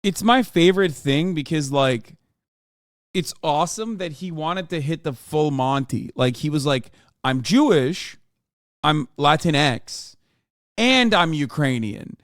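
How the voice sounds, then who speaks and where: A man's voice: on the high side, lively intonation, slow, fairly bright tone, no room sound, studio quality. A man streaming a game and reacting to a video in blunt, profane, rambling casual commentary. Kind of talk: casual commentary while gaming.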